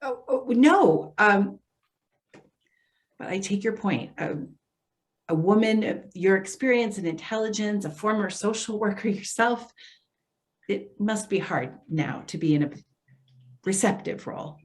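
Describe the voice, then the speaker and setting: A woman's voice: medium pitch, lively intonation, a little slow, pauses often, natural voice. Woman acting hesitant, earnest social worker. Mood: concerned, placating. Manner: hesitant, placating, careful. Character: earnest, apologetic female social worker for elders. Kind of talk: readers theater dialogue.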